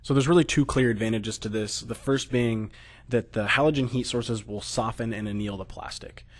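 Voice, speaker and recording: A man's voice: medium pitch, normal speed. An American man narrating a technical slideshow, explaining steadily with occasional fillers. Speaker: product presenter.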